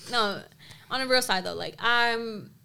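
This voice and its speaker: A woman's voice: fairly high pitch, unhurried, vocal fry, sharp tone, clean tone. A young woman, a co-host, chatting casually on a podcast, rambling and laughing often. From Dominican American, grew up in the US.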